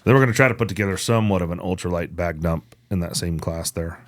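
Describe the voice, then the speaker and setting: Low-voiced man in casual conversation. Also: quick pace, no room sound. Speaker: podcast host. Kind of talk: casual chat.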